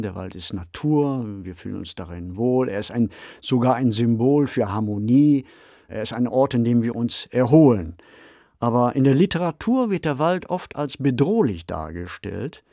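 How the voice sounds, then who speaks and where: A man's voice: mid-range voice, a little mellow, no echo. Middle-aged man, thoughtful, in a dry studio. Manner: measured, explanatory, reflective. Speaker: podcast co-host and author. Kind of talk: thoughtful podcast discussion.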